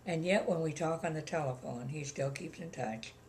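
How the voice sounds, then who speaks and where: An older woman with a gravelly voice, chatting plainly about her life in an interview, with many ums. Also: low voice, moderate pace, darkish tone, slight background noise. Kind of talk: casual reminiscing chat.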